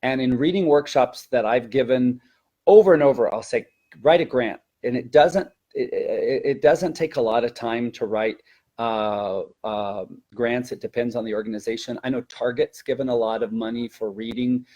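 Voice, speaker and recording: A man with a medium-pitched voice presents as an experienced language teacher in a conversational webinar. He speaks emphatically, poses rhetorical questions, and builds his explanations in short stop-and-start phrases. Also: moderate pace, mellow.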